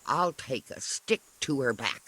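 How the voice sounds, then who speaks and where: An actor playing a gruff, surly old peasant who is curt, hostile and grumbling, in a video game cutscene. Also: male voice, mid-range voice, animated, measured pace, bright, forceful tone. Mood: grudging, threatening. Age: older. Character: gruff, surly old peasant parent-in-law, grudging and foul-mouthed.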